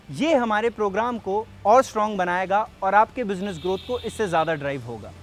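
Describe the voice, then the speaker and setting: A man playing an earnest, persuasive sales rep, explaining things directly to the listener in a scripted training film. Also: sits high. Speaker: presenter and sales representative. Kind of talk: scripted training film narration and role-play.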